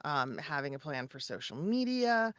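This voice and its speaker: A woman's voice: low voice, sing-song, normal speed, a bit bright. A woman co-hosting a casual livestream, chatting and giving advice conversationally. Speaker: co-host.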